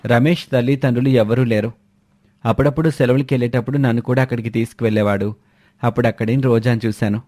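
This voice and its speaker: A man's voice: mid-range voice, steady tone, fast, mellow, close to an everyday voice. Man playing a good-natured young soldier. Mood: recounting.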